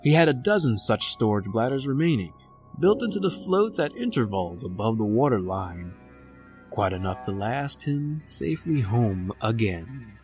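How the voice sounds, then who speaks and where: A man giving measured, dramatic scripted narration for a radio story. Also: mid-range voice, sing-song, a little mellow, faint music behind.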